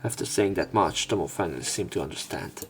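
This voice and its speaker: A man reading visual novel text aloud as a let's play narrator, in measured short phrases. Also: low voice. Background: game music.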